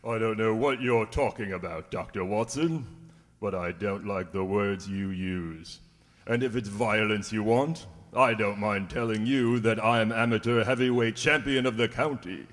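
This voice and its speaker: A man acts a sturdy young husband in a radio play. His voice sits a little low and echoes in a hall. He is proud, firm and straightforward. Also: a little slow, lightly acted. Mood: defiant. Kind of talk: radio drama acting. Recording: reverberant hall sound.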